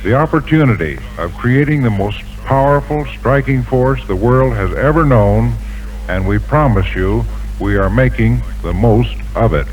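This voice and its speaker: Male general, 1940s radio guest: medium pitch, formal declarative speechmaking, muffled old broadcast sound. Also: measured pace, faint music behind. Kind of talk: formal prepared radio statement. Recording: dull vintage 1940s radio recording.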